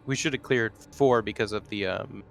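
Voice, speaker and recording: A man's voice: lowish voice, normal speed, creaky. A man speaking casually as a player in a tabletop role-playing game. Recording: music playing behind the voice.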